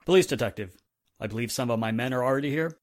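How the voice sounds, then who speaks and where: A man's voice: mid-range voice, fast, a little hiss or hum, lightly acted. A man playing a confident, affable police inspector in a 1940s-style radio drama. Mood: businesslike. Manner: businesslike, confident. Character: brisk, affable male police inspector, in charge.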